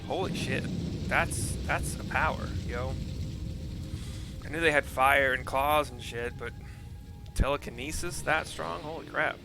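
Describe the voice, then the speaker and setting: Slow-paced casual man narrating over loud music. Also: medium pitch, fairly even intonation, vocal fry. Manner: hesitant, colloquial, mildly sweary. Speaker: video game streamer narrating story scenes. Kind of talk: casual audio description of game cutscenes and dialogue readout. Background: loud game music.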